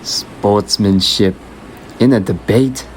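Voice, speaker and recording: A man's voice: on the low side, takes it slowly. A man playing a sardonic, skeptical onlooker in a radio drama, with faint room hum.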